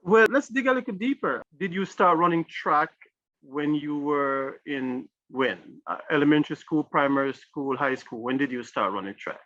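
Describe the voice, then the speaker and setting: A man's voice: on the high side, animated, moderate pace, fairly bright tone, no echo, highs cut off. A middle-aged man hosting an interview show, emphatic and repeating key phrases as he introduces and questions his guest. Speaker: show host.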